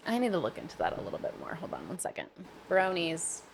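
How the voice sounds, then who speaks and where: An American woman co-hosting a casual podcast, chatting in an animated, informal way with occasional swearing. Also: low-pitched, fast talker, gravelly, slight background noise, low-quality recording. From United States. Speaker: podcast co-host.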